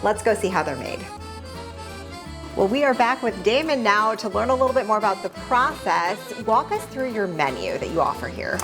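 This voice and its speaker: A woman's voice: mid-range voice, big pitch swings, normal speed, music in the background. A woman hosting a local TV feature, asking guests friendly, upbeat questions. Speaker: show host and interviewer.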